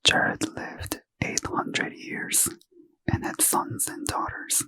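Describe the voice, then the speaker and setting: A man reading scripture aloud in a soft, unvoiced ASMR whisper as a bedtime story. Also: takes it slowly.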